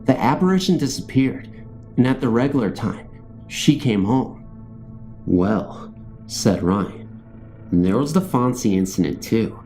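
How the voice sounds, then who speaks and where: A man's voice: medium pitch, lively intonation, unhurried, long pauses, a little mellow, soft background music, rough recording. A man reading a scripted first-person ghost story as a narrator. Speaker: narrator of true ghost stories.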